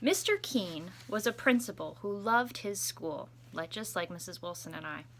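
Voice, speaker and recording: A woman teacher reading a story aloud to children with expressive, deliberate phrasing. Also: on the low side, background noise.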